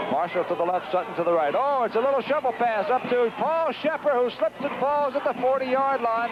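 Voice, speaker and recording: An American man doing live radio play-by-play of high school football, describing the action vividly in short, clipped phrases. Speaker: play-by-play announcer. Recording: dull, noisy 1970s radio broadcast audio.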